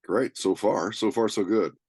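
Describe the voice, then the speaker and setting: A man with a deep voice hosts a casual sports podcast. He is laid-back and agreeable, talking in slang, and the sound is dry with no room echo. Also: fairly even intonation, a little slow, a little mellow, breathy edge. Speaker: podcast host.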